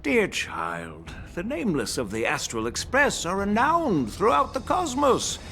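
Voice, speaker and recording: An actor playing a measured, shrewd old grandfather who is a general in a fantasy video game, speaking formally and with authority. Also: high-pitched, huge pitch swings, bright, forceful tone, soft background music, exaggerated voice. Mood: kindly persuasive. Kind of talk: dramatic video game voice acting.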